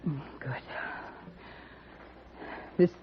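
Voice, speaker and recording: An actress playing a weary, wronged young frontier woman, bitterly resigned, in a 1950s radio western. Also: extremely slow. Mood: weak, resigned. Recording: muffled, noisy old radio recording.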